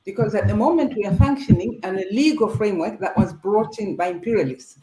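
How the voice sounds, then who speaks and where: An older woman from Zambia and Zimbabwe leads a panel discussion, reflective and anecdotal as she hands the floor to her guests. Her voice sits in a roomy, echoey space, with a steady noise bed under it. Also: medium pitch, fast talker. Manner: reflective, storytelling, inviting guests in. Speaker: talk show moderator.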